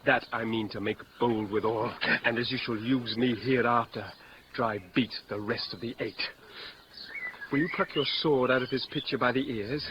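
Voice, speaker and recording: An actor playing a young, defiant, bitterly witty duellist in a classical radio drama, sardonic and declamatory. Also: male voice, medium pitch, fairly even intonation, normal speed, noisy background, natural voice. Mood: mocking. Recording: dull lo-fi radio drama with music.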